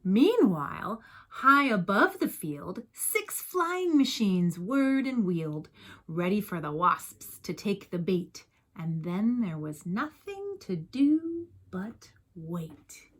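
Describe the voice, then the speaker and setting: A woman's voice: medium pitch, very animated, takes it slowly, fairly bright tone. A woman reading a picture book aloud like a teacher, expressively, with chatty asides and questions to young listeners.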